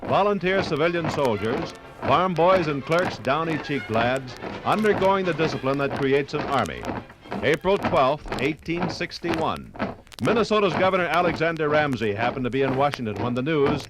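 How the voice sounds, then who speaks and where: Male documentary narrator, 1950s radio: solemn, emphatic, slightly high register over prominent music. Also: lively intonation, normal speed, poor audio.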